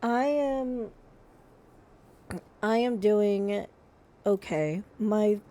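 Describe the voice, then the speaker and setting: A woman streaming live, chatting casually and gushing, her voice a little hoarse, with frequent restarts. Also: mid-range voice, drawn-out words, dark tone. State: slightly hoarse.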